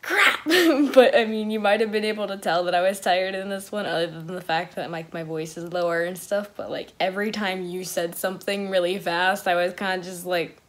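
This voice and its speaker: A woman's voice: fairly low pitch, level tone, brisk, few pauses. A very tired, drowsy woman talking casually and ramblingly as the host of a reaction video.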